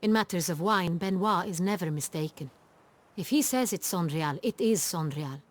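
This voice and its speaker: An actress playing a proud, strong-willed duchess in a fantasy game, speaking in a formal, commanding, regal manner. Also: female voice, lowish voice, lively intonation, normal speed, natural voice. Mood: insistent.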